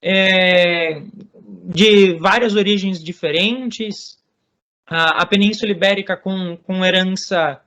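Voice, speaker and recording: Brazilian man, high voice, hesitant measured guest explainer. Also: steady tone, pushed and bright. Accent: Brazilian Portuguese. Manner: measured, many hesitations and restarts. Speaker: author and guest on Norse religion. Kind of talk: explanatory interview talk.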